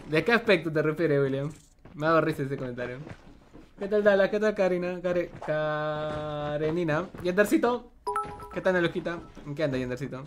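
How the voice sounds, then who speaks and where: A man's voice: a little high, normal speed, music in the background. A man, streaming a game live, chatting casually and greeting viewers by name. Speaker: gaming streamer. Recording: background noise.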